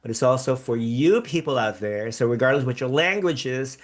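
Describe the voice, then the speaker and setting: A man's voice: mid-range voice, lively intonation, fairly quick. Man, born in the Philippines, live-stream host, rambling, upbeat. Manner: chatty and rambling, many restarts, upbeat bursts. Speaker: podcast host reacting live to a pageant. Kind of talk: live-stream reaction chat reading viewer comments.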